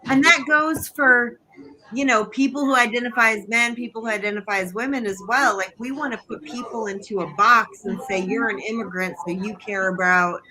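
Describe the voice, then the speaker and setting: Emphatic woman with a bright tone. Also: on the high side, a little fast, a little hiss or hum, rough recording. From United States. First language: not English. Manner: earnest, emphatic, with restarts. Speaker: political panel guest. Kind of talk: live political discussion on a video call.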